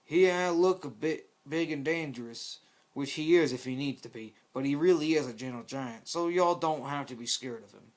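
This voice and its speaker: A man's voice: on the high side, moderate pace, close to an everyday voice. A man voicing a friendly engineer with a put-on Texan drawl. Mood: reassuring. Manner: drawling, folksy.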